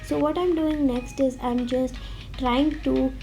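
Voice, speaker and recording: A woman with a fairly high, mellow voice walks through a drawing tutorial in a friendly, easy manner. She sounds a little under the weather. Soft music plays faintly underneath. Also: measured pace. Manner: friendly, relaxed, explaining as she draws. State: slightly sick voice. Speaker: art tutorial host. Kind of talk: step-by-step drawing tutorial voice-over. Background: faint background music.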